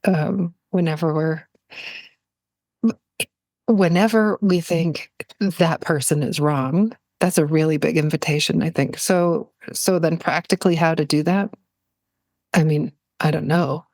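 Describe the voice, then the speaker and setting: A woman's voice: deep voice, steady tone, measured pace, darkish tone, no room sound. A woman speaking as a podcast guest, telling personal stories in a reflective, conversational and earnest way, often searching for words.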